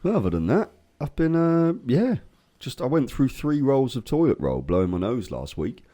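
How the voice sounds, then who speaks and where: An English man co-hosting a casual podcast, swearing freely and telling lively stories while getting over a cold. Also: medium pitch, moderate pace. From England. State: recovering from a cold. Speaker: podcast co-host. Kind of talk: casual chat.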